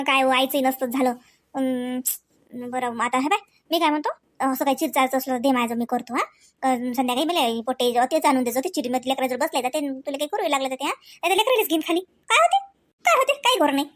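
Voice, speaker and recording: A woman plays a homely village woman from a family cartoon, gossipy and colloquial in a rural Varhadi Marathi accent. The voice is very high and the talk runs fast, in a dry, close sound. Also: no room sound, close to an everyday voice. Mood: homely, concerned. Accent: Varhadi Marathi, rural Vidarbha. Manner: gossipy, colloquial, drawn-out kinship exclamations.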